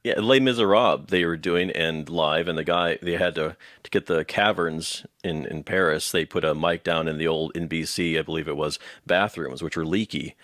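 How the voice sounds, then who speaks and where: Low-voiced male interviewer, dry sound. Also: steady tone, moderate pace, few pauses, a bit bright, dry recording. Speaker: interviewer, host. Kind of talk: casual, chatty interview.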